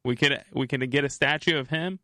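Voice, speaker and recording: A man filling in as co-host jokes around in a bright, crisp voice at middle pitch. He keeps things light with laughs. The sound is dry and close. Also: brisk, dry recording. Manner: joking, laughs between lines. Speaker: guest co-host. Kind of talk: casual chat.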